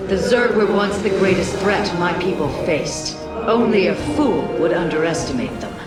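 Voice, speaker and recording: A woman's voice: lowish voice, expressive, moderate pace, natural voice. Woman playing a proud, echoing alien matriarch. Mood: stern defiance. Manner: regal, firm, clipped formality. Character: proud, stern female alien leader of shadow warriors. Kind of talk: video game cutscene acting. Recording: echoing voice under loud music.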